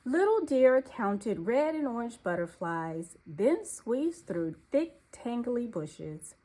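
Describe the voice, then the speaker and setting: A woman narrating a children's storybook aloud in an expressive, deliberate storytelling manner. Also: fairly high pitch, rough recording.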